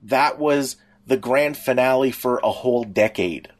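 A middle-aged man co-hosting a podcast, chatting and reminiscing casually, piecing his thoughts together in a halting way. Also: medium pitch. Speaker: podcast co-host. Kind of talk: casual chat, personal reminiscing.

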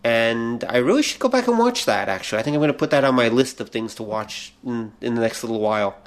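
A man's voice: medium pitch, expressive, quick pace. A man co-hosting a podcast, explaining films in a casual, opinionated way. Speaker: podcast co-host. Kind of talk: casual film chat.

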